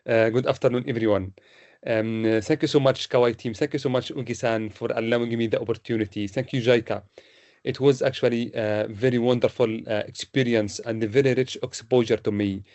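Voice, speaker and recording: Male intern, Egyptian accent, average pitch, semi-formal presenter. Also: fairly even intonation, a little fast, a little mellow, lo-fi sound.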